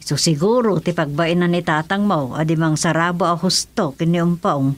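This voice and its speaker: A woman acting as a gentle older village mother in a conversational radio drama. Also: on the low side, normal speed, close to an everyday voice. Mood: reassuring.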